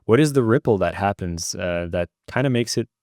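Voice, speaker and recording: A man hosting a podcast, asking measured, slightly halting interview questions. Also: lowish voice, expressive, darkish tone, no room sound, clean studio sound. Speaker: podcast host.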